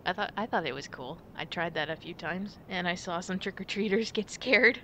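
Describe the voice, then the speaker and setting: A young woman playing a playful, affectionate girlfriend in a chatty ASMR roleplay, with gentle hesitant pauses. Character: playful, affectionate girlfriend on a pumpkin-picking outing. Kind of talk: ASMR girlfriend roleplay, casual one-sided chat. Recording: rumbly background noise.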